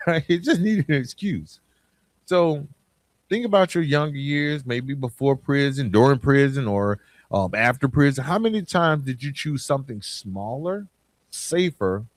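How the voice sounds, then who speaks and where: A man's voice: mid-range voice, no room sound. An American man hosting a podcast, delivering an informal motivational monologue that addresses the listener directly with rhetorical questions. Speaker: podcast host.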